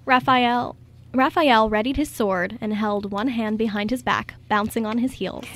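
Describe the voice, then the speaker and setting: A woman's voice: a little high, unhurried, a bit bright. A woman reading scripted story narration as the narrator of a radio drama.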